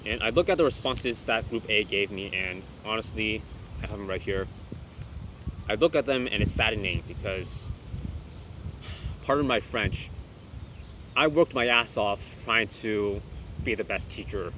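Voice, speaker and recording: A young man who teaches English, talking through a reflective vlog in a quiet, reserved way with hesitant restarts. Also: on the low side, unhurried, long pauses, background noise.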